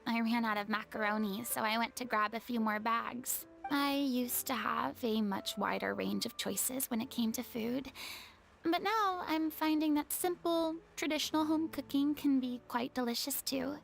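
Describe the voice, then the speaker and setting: A woman's voice: a little high, moderate pace, vocal fry, a little hiss or hum. An actress voicing a proud, theatrical young woman who was once a goddess, with a haughty, dramatic delivery. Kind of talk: game voice acting.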